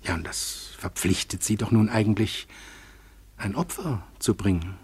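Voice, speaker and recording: An actor playing a fatherly, gently moralizing family friend in a German radio drama, earnest and hesitant as he picks his words. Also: on the low side, expressive, moderate pace, breathy edge, no room sound. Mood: solemn persuasion.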